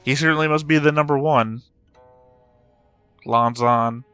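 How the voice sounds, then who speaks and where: Man, mid-pitched voice, casual reaction commentary, blunt, background music. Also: steady tone, a little slow, a bit bright, clear, resonant tone, no echo. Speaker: reaction video host.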